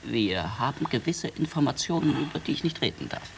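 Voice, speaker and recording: An actor in a German radio play, a man voicing a secretive, excitable young manservant with a dramatic, confiding delivery. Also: medium pitch, a little fast, creaky, slight background noise.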